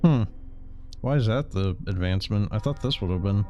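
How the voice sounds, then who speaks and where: A man's voice: fairly low pitch, fairly quick, muffled recording, a little hiss or hum. A man streaming a game, commenting casually and reading text aloud.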